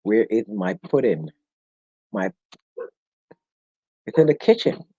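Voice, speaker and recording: A man's voice: fairly low pitch, very animated, slow pace, warm tone, breathy edge, no room sound. A man with a Jamaican accent hosting a casual live discussion, joking and laughing often with the viewers. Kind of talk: casual live-stream chat. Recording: dull lo-fi sound.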